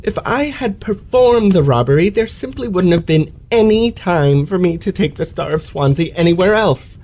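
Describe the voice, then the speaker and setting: A man's voice: high voice, lively intonation, normal speed, a little mellow. A man playing a pompous, self-pitying male lecturer with florid, precise elocution in an old-fashioned radio play. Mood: wounded, self-pitying.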